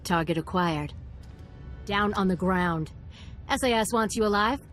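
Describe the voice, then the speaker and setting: A bitter female fighter out for revenge played by an actress in a video game. She is hard and accusing at a medium pitch, over faint music. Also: unhurried, a bit bright, lightly acted. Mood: vengeful. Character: bitter, vengeful female fighter confronting her target.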